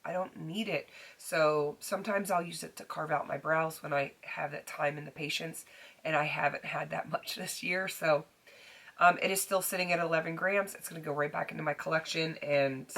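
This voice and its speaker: Raspy-voiced woman chatting about makeup. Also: sits low, fairly even intonation, moderate pace, a bit bright. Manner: chatty with frequent ums and restarts. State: recovering hoarse voice. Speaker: beauty vlogger. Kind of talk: casual product chat to camera.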